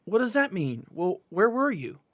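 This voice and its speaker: A young man acting in an audio drama as a concerned, calming friend. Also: high-pitched, normal speed, no echo.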